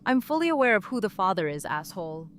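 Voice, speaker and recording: A woman's voice: mid-range voice, very animated, fast talker, fairly bright tone, slight background noise. A woman playing an emotional, sarcastic, blunt mother-to-be in an audio drama. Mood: exasperated, sarcastic.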